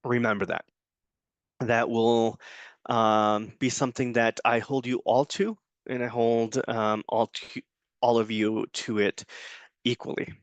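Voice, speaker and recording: A man recording an introduction to his online course. He talks informally, with frequent ums and restarts. His voice is smooth and clear, captured dry and close with no room sound. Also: mid-range voice, flat delivery, a little slow, dry recording. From United States. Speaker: adjunct Spanish professor.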